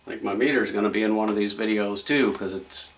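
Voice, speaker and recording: Low-voiced man, recorded at a distance. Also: steady tone, fast. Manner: folksy, rambling, thinking aloud. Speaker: electronics repair hobbyist demonstrating a fix. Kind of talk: casual off-the-cuff repair narration. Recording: distant mic with faint background noise.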